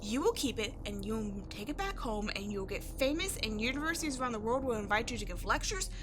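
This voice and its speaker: A woman acting in a roleplay audio drama as a brash young tomboy archaeologist with a soft side, animated, slangy and teasing. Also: fairly high pitch, fast talker, background noise, close to an everyday voice. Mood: wistful, insistent.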